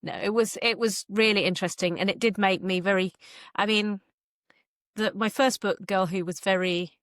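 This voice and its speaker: A woman's voice: medium pitch, fairly even intonation, moderate pace, a bit bright, no room sound, studio quality. A woman giving a casual interview as a guest author, talking in a hesitant, self-interrupting way.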